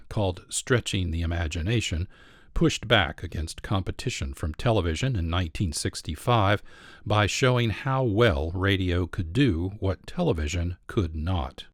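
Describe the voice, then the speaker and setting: A man's voice: low-pitched, no room sound, clean studio sound. A man hosting with scripted, explanatory narration. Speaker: host narrator.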